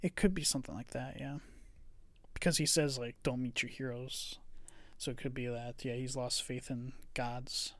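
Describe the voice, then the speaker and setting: A relaxed podcast co-host talking through a film in an easygoing back-and-forth, often hedging and trailing off. The voice sits at an ordinary middle pitch. It is recorded close and dry, with no room sound. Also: moderate pace, no echo. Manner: hedging, trails off with "I don't know". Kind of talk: casual film review chat.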